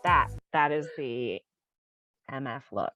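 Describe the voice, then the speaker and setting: A woman's voice: a bass voice, animated, slow pace, sharp tone, dull audio. A woman co-hosting a music reaction video, chatting casually and gushing with delighted groans. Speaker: co-host of a reaction channel.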